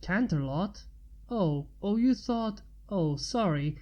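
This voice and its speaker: Woman doing weary injured man, fantasy storybook figure, polite, weak, hesitant, mellow voice. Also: mid-range voice, animated, highs cut off. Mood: weakly amused. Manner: weak, halting, courteous. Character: weary wounded man, polite stranger mage.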